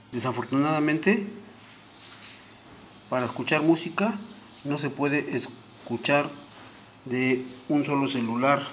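A middle-aged man narrating a hands-on product tutorial, explaining deliberately step by step in short phrases. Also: medium pitch, a little hiss or hum. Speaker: product demonstrator, host. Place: small indoor room at home.

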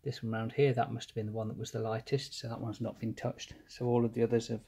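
A man calmly explaining his engine rebuild step by step while he works at a cluttered workshop bench. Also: mid-range voice, vocal fry, slightly rough. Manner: methodical, plain explanatory, pauses mid-phrase. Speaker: home mechanic rebuilding an engine. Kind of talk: hands-on workshop walkthrough.

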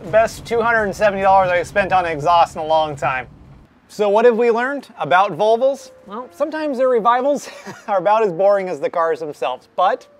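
A man's voice: sits high, lively intonation, moderate pace, slight background noise. Wry man joking while he drives. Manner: dry, self-deprecating humor. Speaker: car restoration vlogger. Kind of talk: casual vlog commentary. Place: inside a moving car.

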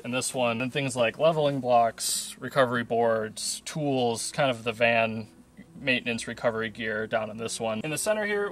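A man's voice: medium pitch, fairly bright tone. A young man with a North American accent giving a casual tour to camera as the owner of a van. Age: young adult. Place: in and around a camper van in the woods.

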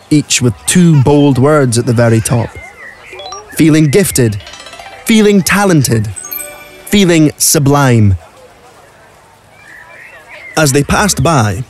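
A man's voice: mid-range voice, darkish tone. A man narrating a scripted story for an audio play.

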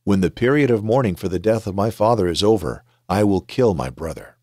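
A man's voice: fairly low pitch, expressive, a little fast, a little mellow, no echo, studio quality. A man reading aloud the words of a grieving eldest son who pleads in a scripture reading. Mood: pleading.

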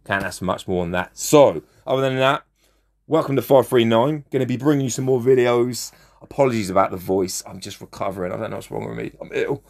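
A man with a hoarse, fading voice, chatting casually as he comments on a mobile strategy game and gives friendly shout-outs. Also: medium pitch, fairly quick. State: losing his voice, hoarse. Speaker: gaming channel host.

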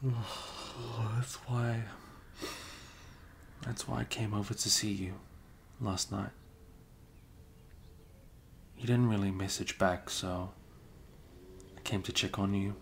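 Slow gravelly-voiced man, soft-spoken. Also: lowish voice, steady tone, slight background noise. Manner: soft-spoken, drifting into whispers, hesitant. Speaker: ASMR roleplay creator. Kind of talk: improvised ASMR roleplay as a best friend. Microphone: close microphone.

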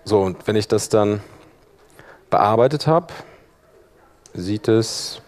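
A man in his forties presenting a workshop in an informal way, thinking aloud as he demonstrates software. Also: lowish voice, animated, takes it slowly. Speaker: workshop presenter, audio drama editor. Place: indoor meeting room, seated at a laptop.

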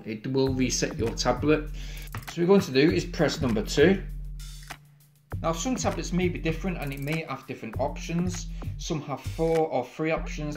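Chatty English man explaining a tablet reset. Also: on the high side. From England. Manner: chatty, upbeat, plain step-by-step explaining. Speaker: tech video host. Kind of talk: casual tutorial narration. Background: background music.

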